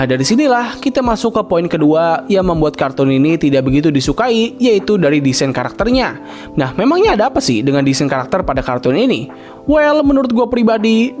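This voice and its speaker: A man's voice: high-pitched, a little mellow. A man narrating a cartoon review video in a casual, slangy voice-over, talking straight to his viewers. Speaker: cartoon review channel host.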